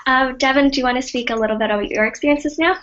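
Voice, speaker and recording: A woman's voice: high voice, very fast, fairly bright tone. A woman from Canada moderating a webinar panel on a video call, friendly and upbeat. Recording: dull lo-fi webinar audio.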